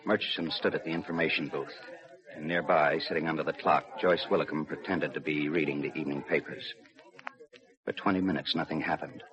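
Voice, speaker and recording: A low, steady male voice as a businesslike police lieutenant in old radio crime drama. The recording is muffled, with a busy crowd behind him. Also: brisk. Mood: grave, tense narration. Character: steady, businesslike railroad police lieutenant, narrating his case. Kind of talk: 1950s radio crime drama narration and dialogue.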